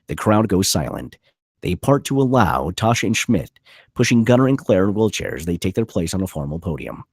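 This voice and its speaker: A man's voice: low-pitched, very animated, moderate pace, a little mellow, breathy edge, dry recording, clean studio sound. A man narrating a screenplay at a table read, reading out scene headings and stage directions.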